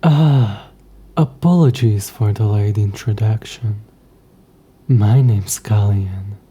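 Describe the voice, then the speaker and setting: A man voicing a smug, coy, centuries-old demon in an audio roleplay, speaking in a hushed, breathy voice with haughty, old-fashioned, taunting phrasing. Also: on the low side, exaggerated voice. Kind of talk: scripted audio roleplay addressed to the listener.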